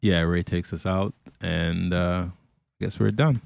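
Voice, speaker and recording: Deep-voiced man hosting a podcast. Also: fairly even intonation, gravelly, no echo. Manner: halting, many fillers and restarts. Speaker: podcast host. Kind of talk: casual chat.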